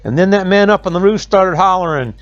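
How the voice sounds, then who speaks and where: A man playing a battered, panicky backwoods highway robber telling his woes in a storybook tale. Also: fairly quick, no room sound, muffled recording, natural voice. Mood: panicked, wailing.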